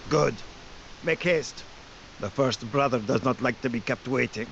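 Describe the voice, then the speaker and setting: An actor playing a brusque, impatient cult go-between in a fantasy game, gravelly, terse and pressing. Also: male voice, medium pitch, a little slow, fairly bright tone, slight background noise. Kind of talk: dramatic game cutscene acting. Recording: slightly dull sound, faint music behind.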